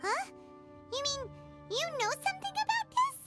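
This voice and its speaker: A woman voicing a tiny, childlike girl fairy sidekick in a video game, chirpy, naive and excitable, with a squeaky voice. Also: moderate pace. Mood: surprised, eager. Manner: refers to self in third person, exclamatory. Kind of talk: video game character dialogue. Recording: game audio with prominent music. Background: loud game music.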